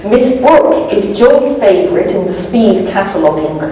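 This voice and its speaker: A man's voice: sits high, expressive, a little slow, mellow, heavy reverb, far from the mic, slight background noise, low-quality recording. A woman reading aloud as a beaming, eager older male librarian with formal, earnest, old-fashioned speech, in a storybook reading.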